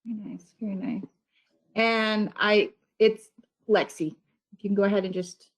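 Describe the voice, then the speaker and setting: A middle-aged woman, a parent coach, hosting a panel on a video call, halting and trailing off softly. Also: mid-range voice, poor audio. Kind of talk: online meeting chat.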